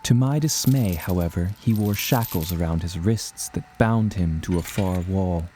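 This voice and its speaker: Deep, dark-voiced male narrator. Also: a little slow, slight background noise. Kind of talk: scripted first-person adventure narration.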